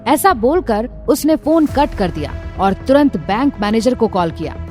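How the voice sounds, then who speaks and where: High-pitched woman narrating a drama. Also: sing-song, unhurried, warm tone. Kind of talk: scripted dramatic story narration. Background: background music.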